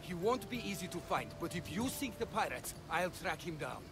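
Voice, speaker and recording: An actor playing the blunt, sardonic, exasperated leader of a threatened village in ancient Greece, in a video game cutscene. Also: male voice, a little high, sing-song, moderate pace, over music. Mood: urgent.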